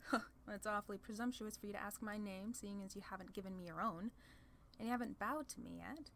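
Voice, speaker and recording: A woman's voice: medium pitch, fast, fairly bright tone, a little hiss or hum, natural voice. A woman playing a haughty, sly female demon lord in an ASMR roleplay, condescending and playfully theatrical. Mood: imperious.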